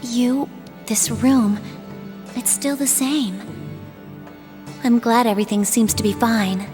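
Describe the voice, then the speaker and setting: An earnest young sorceress in a fantasy video game. Her voice sits fairly high, with measured, composed delivery and determination underneath. It rings in a roomy space with music clearly behind it. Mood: polite, familiar. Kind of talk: English-dubbed fantasy game cutscene acting and narration.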